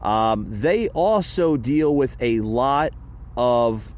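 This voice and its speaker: A man's voice: medium pitch, lively intonation, drawn-out words, darkish tone. A man hosting a podcast who talks straight to his listeners, often calling them "guys". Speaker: podcast host. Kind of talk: casual podcast talk to listeners. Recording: muffled lo-fi.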